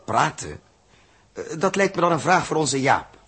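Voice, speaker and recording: An actor playing a genial, hearty spaceship captain with an informal manner in a 1960s radio play. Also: male voice, on the high side, sing-song, normal speed, a bit bright, breathy edge, heavily acted. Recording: noisy early 1960s radio recording.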